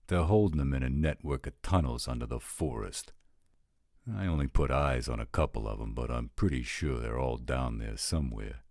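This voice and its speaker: A man's voice: extremely low pitch, normal speed, creaky, a little mellow, dry recording, clean studio sound. A man playing a blunt, roguish supernatural scout who talks in casual street slang, in an audio roleplay drama. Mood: blunt, matter-of-fact.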